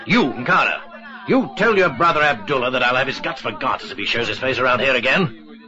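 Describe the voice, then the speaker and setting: Actor as animated, blustering colonial hunter. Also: male voice, medium pitch, brisk, music in the background, close to an everyday voice. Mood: angry, scornful. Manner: brusque, dismissive, swaggering. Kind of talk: radio drama acting. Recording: dull lo-fi old radio recording.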